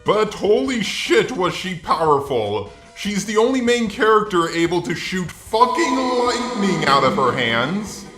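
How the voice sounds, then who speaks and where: A man's voice: high voice, very animated, measured pace, few pauses, pushed and bright, reverberant, faint music behind. A man's synthetic robot voice delivering scripted, opinionated commentary in a sardonic, sweary manner. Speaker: video essayist.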